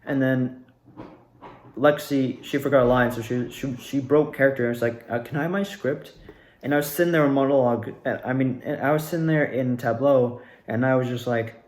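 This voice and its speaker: A man's voice: medium pitch, normal speed. A young man telling a story in a casual self-interview style, acting out the lines he quotes as he goes. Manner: animated retelling, acts out quoted lines. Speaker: amateur actor recounting own performance. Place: indoors at home. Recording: echoey room.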